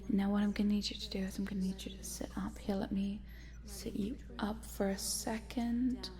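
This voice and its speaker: A woman playing a cute but sinister scientist in an ASMR roleplay, coaxing in a soft, breathy whisper. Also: mid-range voice, level tone, moderate pace, lightly acted. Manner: hushed, coaxing, murmuring, giggly asides. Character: cute sinister lady scientist, chatty, coaxing, quietly gleeful.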